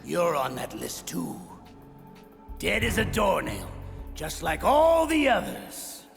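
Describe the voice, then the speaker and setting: A deeply gravelly older male villain, swinging wildly in pitch. He is a volatile, tormented gunslinger from a game drama, heard through long reverb. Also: big pitch swings, slow, full character voice. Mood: taunting. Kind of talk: dramatic video game voice acting. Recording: long reverb with music behind.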